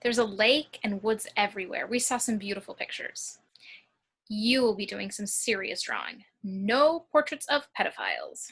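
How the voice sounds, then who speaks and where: A woman's voice: medium pitch, big pitch swings, moderate pace, gravelly, a bit bright, breathy edge, exaggerated voice. A woman at an amateur table read playing a sassy, dryly teasing college girl.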